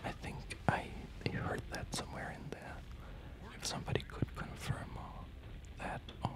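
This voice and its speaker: A tired, rambling ASMR host whispering casual commentary while playing a video game, with hesitant, loosely connected phrasing. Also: measured pace.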